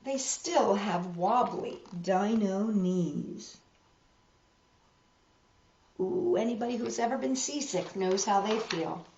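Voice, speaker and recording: A woman reading a picture book aloud to children in a measured, expressive way, with emphasized rhymes and friendly asides. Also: medium pitch, slight background noise. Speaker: storytime host reading picture books. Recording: roomy echo, slightly dull sound.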